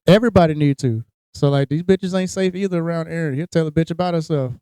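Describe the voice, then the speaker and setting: A man's voice: fairly high pitch, fast, smooth, no room sound, poor audio. A man co-hosting a podcast, telling crude, joking stories in a casual chat. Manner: crude, joking, animated storytelling. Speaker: podcast co-host.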